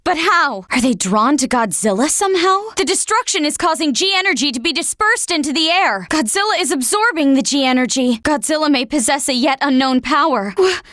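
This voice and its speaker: A woman's voice: high-pitched, expressive, a little fast, few pauses, a bit bright, no echo, studio quality. A woman playing an anxious military operator in a video game, giving clipped, dramatic reports on a monster threat. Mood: awed, urgent. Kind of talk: video game voice acting, urgent radio-briefing dialogue.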